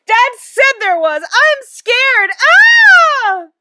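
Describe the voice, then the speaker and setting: Shrill, squealing childish mother. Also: huge pitch swings, very slow, heavy background noise, exaggerated voice. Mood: squealing panic. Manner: shrill, whiny squealing and yelling. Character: squealing, bawling childish mother feigning tantrums.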